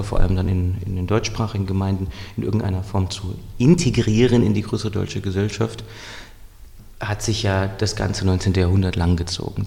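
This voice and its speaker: Low gravelly guy, explains stuff slowly, keeps restarting sentences, kinda reverby room. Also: a little mellow. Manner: measured, explanatory, with frequent restarts. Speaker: historian guest. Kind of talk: thoughtful interview answers.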